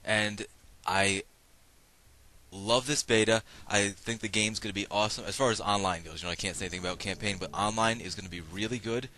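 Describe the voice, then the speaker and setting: A man giving rambling, casual running commentary while playing an online shooter, his voice slightly raspy and hoarse from a cold, often breaking off mid-thought to react to the action. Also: fairly low pitch, fairly quick. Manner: rambling, self-interrupting, bursts of excitement at game action. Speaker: gameplay commentator.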